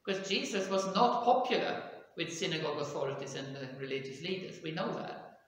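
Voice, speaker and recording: A man's voice: on the high side, lively intonation, fairly quick, a bit bright. A middle-aged man preaching a sermon from a small church pulpit, explaining in a conversational way and gesturing with his arms. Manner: conversational, explaining with hedges like "kind of" and "if you like". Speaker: pastor. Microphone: pulpit mic on a stand, far camera. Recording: echoey church hall.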